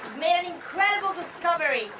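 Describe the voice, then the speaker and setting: High-pitched, earnest young woman, acted by a woman, noisy recording. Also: animated, fast talker, a loud crowd. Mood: excited, earnest. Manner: earnest, insistent. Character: eager young woman keen on ghost hunting. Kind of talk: scripted drama for English learners.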